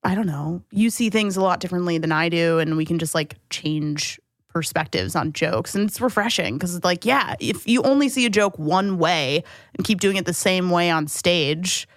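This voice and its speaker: A woman's voice: lowish voice, moderate pace, no echo, clean studio sound. A young woman hosting a casual podcast chat, bubbly and teasing, laughing often at her guest. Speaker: podcast host.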